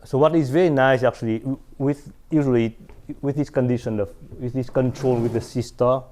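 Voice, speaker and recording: A man's voice: medium pitch, steady tone, darkish tone. A middle-aged man lecturing on mathematics at a chalkboard in a large hall, with many fillers and restarts. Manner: halting, many restarts and fillers, asks "right?".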